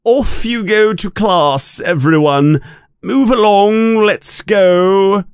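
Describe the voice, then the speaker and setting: Guy doing a vain celebrity teacher in a storybook, booming and bossy, really swoopy delivery. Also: huge pitch swings, very slow, no echo, studio quality, heavily acted. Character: pompous, showy grown man, self-loving celebrity teacher.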